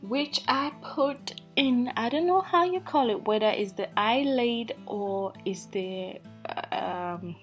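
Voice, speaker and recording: Slow-talking African woman with Norwegian-tinged accent. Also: on the high side. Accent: African English with Norwegian influence. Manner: slow, hesitant, self-deprecating, many fillers. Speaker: beauty vlogger. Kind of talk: casual makeup tutorial. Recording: faint background guitar music.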